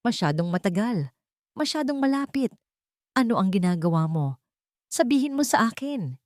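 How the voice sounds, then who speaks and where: A woman's voice: medium pitch, sing-song, normal speed, a little mellow, no room sound, pitched above the performer's own voice, lightly acted. Woman narrator playing wealthy male boss in romance story: icy, suspicious, demanding, curt threats, dry sound. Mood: angry, accusing. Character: cold, suspicious, menacing rich young male CEO.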